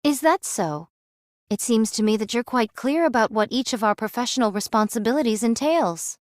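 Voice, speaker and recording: A woman's voice: fairly high pitch, expressive, moderate pace, no room sound, studio quality, natural voice. An actress voicing a stern, weary and stoic swordswoman in a video game, with formal, solemn phrasing. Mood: cold, needling. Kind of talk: dramatic game voice acting.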